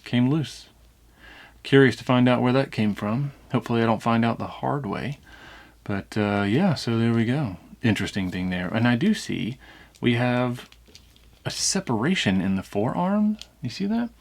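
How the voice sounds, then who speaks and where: A man's voice: medium pitch, animated, moderate pace. A man hosting a casual unboxing review, talking conversationally and thinking aloud as he examines things. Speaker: toy review channel host.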